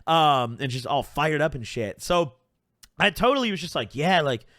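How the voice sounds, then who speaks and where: A young man spins a rambling, profanity-laced storytime, leaning on "like" and "bro" as he goes. His voice sits slightly higher than most men's. The sound is close and dry, with no room around it. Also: moderate pace, no room sound. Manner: animated, puts on voices when quoting people. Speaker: channel host. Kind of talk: casual storytime monologue.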